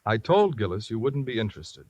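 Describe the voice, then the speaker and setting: A man's voice: fairly low pitch, sing-song, fairly quick, darkish tone, dry recording, lo-fi sound. An American man playing a harried, earnest insurance company man in a 1950s radio drama, sounding weary and pleading.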